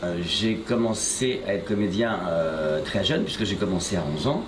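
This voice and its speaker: A man's voice: deep voice, expressive, normal speed. An older man, a voice actor, answering interview questions about his career in a hesitant way, with many fillers and restarts. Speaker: voice actor guest. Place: shop interview, echoey room. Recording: echoey room with noise.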